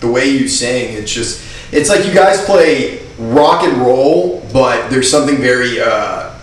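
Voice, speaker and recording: A man's voice: mid-range voice, animated, unhurried, distant mic. Man in a very echoey room, off-mic. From Maine, USA. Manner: profane, informal. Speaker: podcast host. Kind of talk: casual chat about local music.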